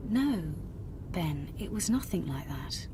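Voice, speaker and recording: A woman's voice: on the low side, very animated, full character voice. An actress playing a widowed mother, strained, grieving and defensive, in a naturalistic radio drama. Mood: defensive, uneasy. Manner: halting, defensive. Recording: clear background noise and ambience.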